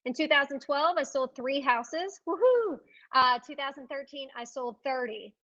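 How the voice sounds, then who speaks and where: Brief: folksy, upbeat woman panelist, high voice, dull lo-fi recording. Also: expressive, moderate pace, fairly bright tone. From Richmond, Virginia. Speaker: real estate agent, panel speaker. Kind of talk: conference panel talk. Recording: dull lo-fi sound.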